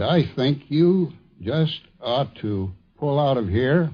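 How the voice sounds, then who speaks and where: A man's voice: medium pitch, husky. A man telling an anecdotal reminiscence in a conversational way. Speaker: former colleague giving a reminiscence. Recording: dull lo-fi vintage radio recording.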